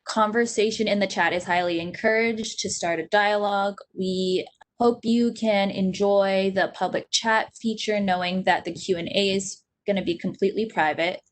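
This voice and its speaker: A woman's voice: mid-range voice, fairly even intonation, moderate pace. A young woman from Hawaii opening an online panel as host, speaking earnestly and steadily with frequent ums, recorded on a laptop webcam during a video call. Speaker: event host. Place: small indoor room at home.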